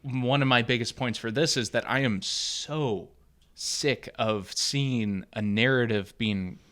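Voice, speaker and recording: Bright-voiced man, halting podcast talk. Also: medium pitch. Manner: halting, many restarts and fillers. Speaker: podcast co-host. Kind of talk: casual discussion podcast.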